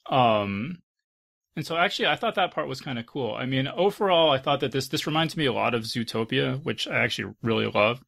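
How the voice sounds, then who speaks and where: Creaky-voiced man hosting a casual panel. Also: medium pitch, expressive, brisk, fairly bright tone. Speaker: podcast host.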